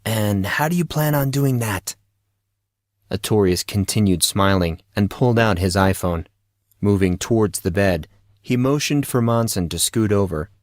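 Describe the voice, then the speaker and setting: A man narrates a fiction audiobook in a low voice. The recording is close and dry, with the delivery of scripted storytelling. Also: no echo.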